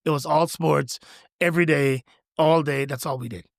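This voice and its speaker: Enthusiastic Jamaica-raised man, higher voice. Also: normal speed, gravelly, no room sound, clean studio sound. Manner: enthusiastic, animated storyteller. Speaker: pastor, guest. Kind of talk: podcast interview conversation.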